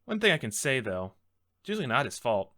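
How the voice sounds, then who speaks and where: A man's voice: medium pitch, big pitch swings, fast, pushed and bright. A man playing an earnest, nervous young rookie defense lawyer in a game script, hesitant in his delivery. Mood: earnest, reflective. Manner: hesitant, stammering.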